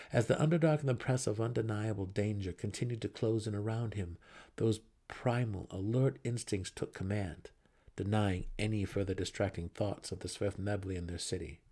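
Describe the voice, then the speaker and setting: Man, lowish voice, dramatic scripted narration, fantasy audiobook, theatrical emphasis. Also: creaky, mellow.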